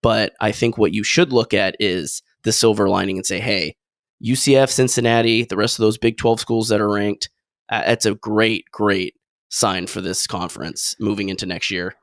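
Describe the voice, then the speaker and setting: A man's voice: fairly low pitch, fairly even intonation, normal speed, no echo, studio quality. A man co-hosting a casual sports podcast, laying out his points in an upbeat, optimistic way. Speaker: podcast co-host.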